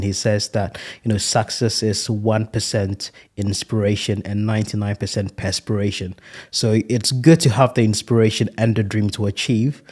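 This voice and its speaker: A man's voice: fairly low pitch, a little mellow. A man in his thirties from Ghana, a guest on an interview podcast, talking in an earnest, reflective way with a few fillers. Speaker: guest, restaurant franchise owner.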